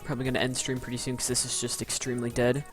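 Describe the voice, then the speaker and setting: Young male streamer, mid-pitched, stutters constantly, blunt no-mercy roasting tone, faint background music. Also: monotone, very fast. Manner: stuttering, rambling, with frequent restarts. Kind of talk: casual live-stream chat.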